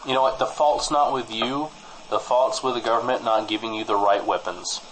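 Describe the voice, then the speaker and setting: A man hosting a comedy show, trading improvised mock news banter in a deadpan manner. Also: mid-range voice, normal speed, a little hiss or hum, low-quality recording.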